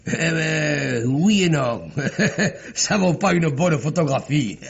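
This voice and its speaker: An actor playing an excitable, hesitant witness in a radio drama, fumbling for words. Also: male voice, fairly high pitch, slow pace, creaky, heavily acted. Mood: hesitant, flustered. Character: excitable, hesitant southern French male witness, easily flustered. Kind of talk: 1950s French radio drama acting. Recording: muffled old radio recording, faint noise.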